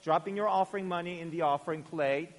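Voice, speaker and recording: A man in his forties from South Korea with a Korean accent, preaching a sermon as pastor, earnest, stressing words and then softening at the ends of phrases. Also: fairly high pitch, fast. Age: 40s. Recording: long hall reverb.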